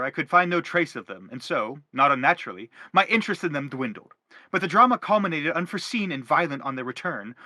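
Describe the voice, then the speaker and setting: A man's voice: a little high, bright, forceful tone, dry recording. An actor playing a middle-aged father in a stage play, formal and declamatory as he anguishes and justifies himself. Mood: defensive. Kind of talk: theatrical stage play acting.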